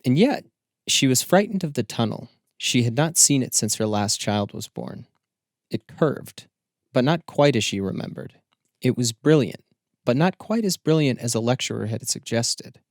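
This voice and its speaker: A man reading scripted literary narration as an audiobook narrator, with measured, deliberate phrasing. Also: mid-range voice, lively intonation, dry recording, clean studio sound.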